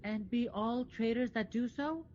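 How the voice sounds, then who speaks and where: A woman's voice: on the high side, a little slow, a little mellow, dull audio, a little hiss or hum, close to an everyday voice. A woman playing a brave, cheeky little boy with an innocent manner in Shakespearean radio drama.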